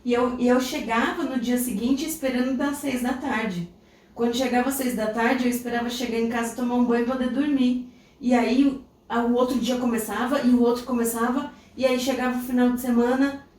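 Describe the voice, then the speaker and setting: A woman's voice: fairly high pitch, steady tone, fairly quick, a little mellow. A Brazilian woman co-hosting a podcast, telling a personal story in a reflective, casual way. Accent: Brazilian Portuguese. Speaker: podcast co-host. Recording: echoey room, distant mic, faint noise.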